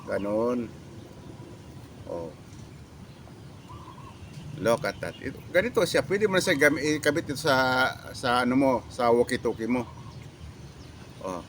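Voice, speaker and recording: A Filipino man giving a casual product demo as a reviewer, talking in a halting, repetitive way with catchphrases. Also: medium pitch, lively intonation, fairly bright tone, a little hiss or hum.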